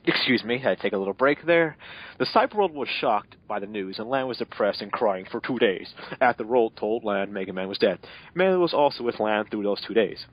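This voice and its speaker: A man's voice: fairly low pitch, animated, brisk, lo-fi sound. A man doing a mocking amateur dramatic reading, breaking off into exasperated, sarcastic commentary. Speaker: amateur fan fiction reader and commentator.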